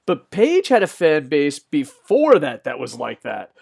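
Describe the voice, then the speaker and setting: A young man with a slightly squeaky voice, rambling through off-the-cuff wrestling news commentary with lots of fillers and restarts. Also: wildly swooping pitch, a little slow, vocal fry, a little mellow. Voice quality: a bit squeaky.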